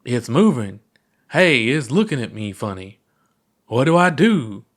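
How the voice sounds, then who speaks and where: A goofy, easygoing alien astronaut, acted out by a male reader telling tales in a storybook. The delivery is theatrical and playful, with words stretched out slowly. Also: medium pitch, sing-song. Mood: theatrical confusion.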